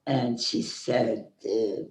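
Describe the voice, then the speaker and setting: A woman in her nineties with a Hungarian accent, giving slow, halting testimony as a survivor. She often restarts phrases and checks in with "Okay?". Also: a bass voice, fairly even intonation, a little mellow. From Hungarian-speaking Central Europe. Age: 90s. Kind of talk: slow personal recollection. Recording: roomy echo.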